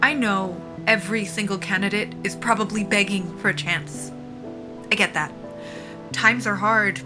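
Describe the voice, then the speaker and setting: A woman's voice: mid-range voice, steady tone, moderate pace, music in the background, close to an everyday voice. A woman playing a desperate female military lieutenant in an audio drama, speaking earnestly and informally as she pleads her case. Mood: determined, resigned. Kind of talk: cinematic audio drama acting.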